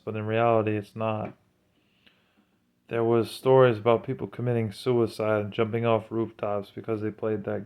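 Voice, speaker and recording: A man's voice: fairly low pitch, clean tone. A man giving an informal religious talk in an earnest, preachy manner. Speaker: religious commentator.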